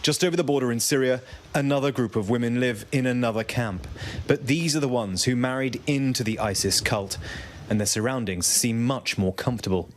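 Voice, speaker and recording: A man's voice: mid-range voice, fairly quick, a little hiss or hum. A man narrating a scripted television news documentary as a reporter. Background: background music.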